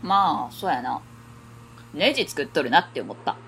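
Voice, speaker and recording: A woman plays a sulky fourth-grader with a Kansai accent who talks back bluntly. Her boy voice sits surprisingly low and sullen. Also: moderate pace, slight background noise. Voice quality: flat, sullen. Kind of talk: amateur web radio drama.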